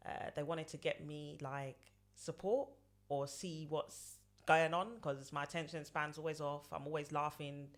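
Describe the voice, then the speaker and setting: A woman's voice: deep voice, sharp tone. A woman in her late thirties talks as a podcast guest. She wanders through her answers, circling back and repeating phrases with plenty of fillers. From London, England. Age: 30s. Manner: rambling, repeats herself, many fillers. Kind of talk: casual interview answers.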